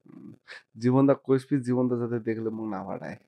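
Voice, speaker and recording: A man's voice: mid-range voice, fairly quick, highs cut off. A young man with a North Bengal accent in a casual, teasing phone chat, often repeating himself as a YouTube prankster.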